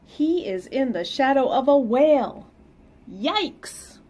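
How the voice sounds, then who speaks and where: Casting: middle-aged woman storyteller, higher voice, very lively intonation, picture-book reading for young children. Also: takes it slowly. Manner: animated, sing-song emphasis for young listeners. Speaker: read-aloud storyteller. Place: small plain indoor room.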